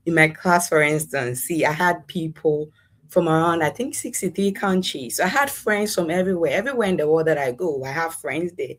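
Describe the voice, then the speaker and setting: A young adult woman with a Ghanaian accent, giving conversational answers as an interview guest. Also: low-pitched. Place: in a room at home, online interview.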